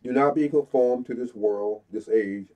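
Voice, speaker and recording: A middle-aged man with a stuffy nose, slowly reading scripture aloud as a Bible teacher, pausing between phrases. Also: medium pitch. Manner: slow, deliberate reading with pauses, stuffy-nosed. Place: small room before a brick wall. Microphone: fixed camera, head and shoulders. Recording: dull lo-fi sound.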